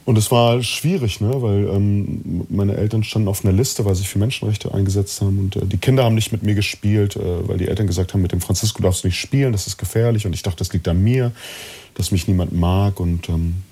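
Man, low-pitched, dark soft tone, breathy rasp, reflective, halting, conversational storytelling. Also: fairly even intonation. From born in East Germany, partly raised in Chile. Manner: reflective, halting with repeated words. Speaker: interview guest, actor and coach.

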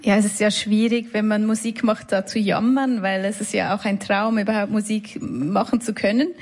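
A woman's voice: mid-range voice, level tone, normal speed. A woman with a Swiss German accent, a musician talking in a radio studio interview in a reflective, self-deprecating way, laughing between words. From Switzerland. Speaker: musician guest. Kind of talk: casual interview talk.

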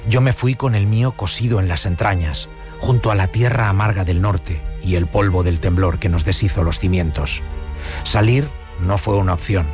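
A man reading a literary passage in a solemn, measured way. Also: deep voice. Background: music.

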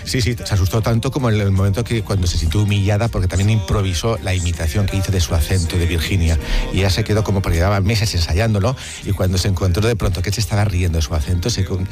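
A man's voice: sits low, fairly even intonation, fast. A man chatting about memories in a reflective, confiding storytelling manner on radio. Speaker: radio film contributor. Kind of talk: conversational anecdotes about cinema. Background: background music.

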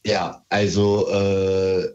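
Slow, nervous low-voiced man on a phone line. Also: little pitch movement, a little mellow. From Berlin, Germany. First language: Turkish. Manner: hesitant, nervous, halting. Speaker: caller. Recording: dull phone-line call.

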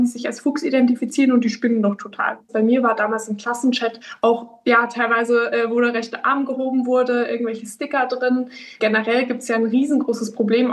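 A woman's voice: on the high side, distant mic. A woman in her twenties, a local politician, giving an earnest interview statement with frequent restarts and searching phrasing. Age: 20s.

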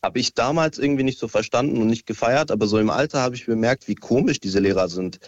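A young man from Syria calling into a radio show, relaxed and a bit hesitant. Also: medium pitch, quick pace, dry recording, lo-fi sound. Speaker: caller. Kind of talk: casual phone call to a radio show.

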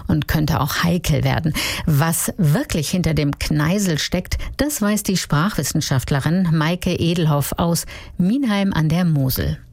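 A woman presenting a radio segment in a lively, chatty way. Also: deep voice, measured pace, gravelly, no room sound. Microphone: studio mic.

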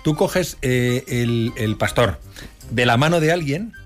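A man from Asturias hosts a radio talk show, telling stories in a chatty, thoughtful way. A clear bed of music plays under his mid-pitched voice. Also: expressive, takes it slowly. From Asturias, Spain. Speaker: radio host.

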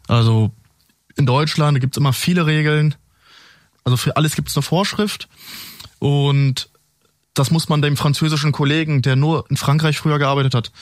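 A man who is a native speaker of both French and German, a police officer recounting events in a measured, explanatory way with pauses, as an interview guest. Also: mid-range voice, no room sound, studio quality. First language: French and German, bilingual. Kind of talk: recounting a case in a studio interview. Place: studio.

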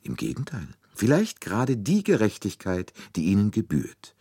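A man voicing a shrewd, courteous gentleman detective, calm, deliberate and soothing, with bits of French, in an audiobook story. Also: fairly low pitch, breathy edge, no echo, studio quality, pitched above the performer's own voice, exaggerated voice. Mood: calm, probing.

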